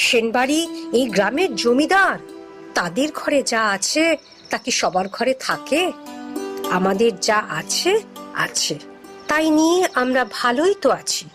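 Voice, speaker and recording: A woman playing a weary, patient old village mother in a cartoon, chiding and pleading in a plaintive way. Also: sits high.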